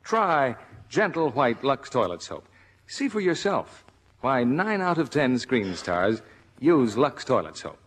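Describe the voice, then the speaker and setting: A man's voice: mid-range voice, sing-song, takes it slowly, breathy edge. An American man announcing a 1950s radio advertisement in a polished, salesmanlike way.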